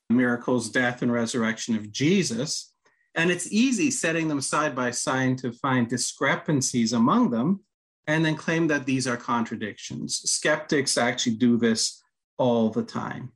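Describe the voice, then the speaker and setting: A man works through his points slowly and step by step, like a patient lecturer. He is the director of a ministry, talking on a podcast. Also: mid-range voice, fairly bright tone. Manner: methodical, lecturing with examples and filler "uh". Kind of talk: scripted-feeling podcast discussion, explaining scripture.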